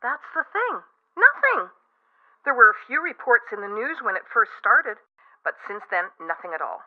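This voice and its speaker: A woman's voice: a little high, big pitch swings, normal speed. Thin telephone sound carries a nervous female caller in a radio play. She is earnest and insistent, a true believer in conspiracies phoning in to the host. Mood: earnest, alarmed. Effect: telephone filter. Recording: tinny, narrow phone line.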